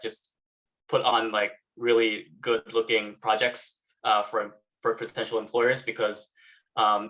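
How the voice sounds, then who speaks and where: Halting young man from Malaysia, bright voice. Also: fairly low pitch, low-quality recording. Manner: halting, many fillers. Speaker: alumnus speaker. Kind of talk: casual talk with slides.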